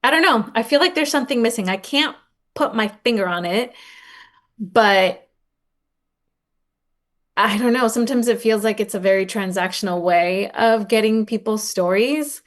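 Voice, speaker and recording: A woman's voice: a little high, moderate pace, long pauses. Reflective woman in conversation. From raised in Los Angeles, born in Oaxaca. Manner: reflective, informal, frequent "like" and retold dialogue. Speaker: podcast guest, nonprofit director and advocate. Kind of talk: conversational interview answers.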